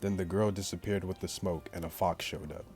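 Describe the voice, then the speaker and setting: A man with a very low voice reads a visual novel aloud as a gaming let's play. His commentary is slangy and joking, and game music plays behind him. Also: fairly even intonation, a little fast, darkish tone. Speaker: gaming YouTuber.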